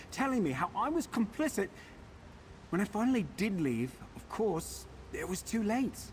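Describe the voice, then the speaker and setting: An actor playing a fussy, pampered defector in a video game, wry and chatty with a faintly aggrieved manner. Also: male voice, sits high, a little hiss or hum, poor audio. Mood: regretful. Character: fussy pampered defector from a wizard house, put-upon. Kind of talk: video game character dialogue.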